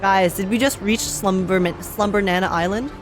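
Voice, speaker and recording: A young woman streaming a game, reacting and reading aloud in a playful, expressive way. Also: medium pitch, moderate pace. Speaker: gaming streamer. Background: game music.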